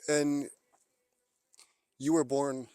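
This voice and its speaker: Interviewer: man, medium-pitched voice, casual and conversational, slightly halting questions, dry recording. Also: level tone, mellow, smooth, no room sound.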